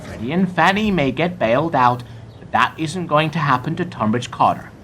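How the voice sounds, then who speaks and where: A man's voice: fairly high pitch, lively intonation, moderate pace, a bit bright, a little hiss or hum. A man playing a ruthless corporate bank executive in a radio play, hard, emphatic and condescending. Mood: hard, menacing. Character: blunt, ruthless male corporate bank executive delivering bad news.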